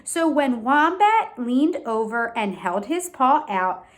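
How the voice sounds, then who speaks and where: A woman reading a storybook expressively to young children, pausing to explain simply and ask questions. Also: high voice, lively intonation. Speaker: read-aloud teacher for young children.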